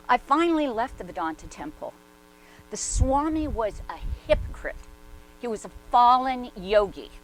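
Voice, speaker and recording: A woman acting a blunt, skeptical woman, noisy recording. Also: mid-range voice. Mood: candid, then cynical, stubborn. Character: skeptical, hard-boiled older woman, former war correspondent, bitter and candid. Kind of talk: dramatic reading in conversational first-person monologue.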